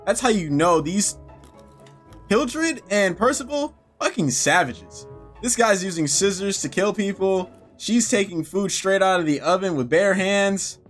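A man's voice: high-pitched, animated, a little slow, fairly bright tone, soft background music. A man in his thirties commenting on a game as he plays, joking and swearing casually. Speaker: gaming streamer. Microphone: headset.

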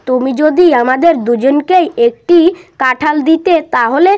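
A woman's voice: sits high, moderate pace, a little mellow, lightly acted. A woman voicing a friendly, polite young animal child in a cartoon, chummy in manner. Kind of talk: cartoon voice acting.

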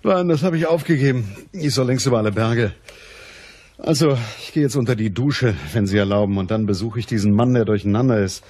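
An actor playing a matter-of-fact, weary private detective in a radio crime drama, with a dry, measured manner. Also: male voice, medium pitch, darkish tone, lightly acted. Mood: dry, casual.

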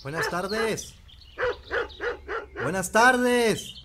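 Man acting a nervous, high-voiced Mexican suitor. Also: extremely slow. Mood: nervous, earnest. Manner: hesitant, stammering, deferential. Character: shy, nervous, lovestruck rural Mexican suitor, polite. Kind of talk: audio fiction acting. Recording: clear farmyard noise, roosters and hens.

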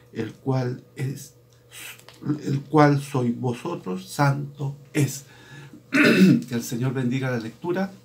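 Middle-aged male lay preacher, very slow and deliberate delivery, frequent throat clearing, webcam sermon from a small home room. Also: mid-range voice, a little mellow. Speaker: preacher's assistant. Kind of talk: slow sermon with scripture reading. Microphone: webcam at desk, video call framing.

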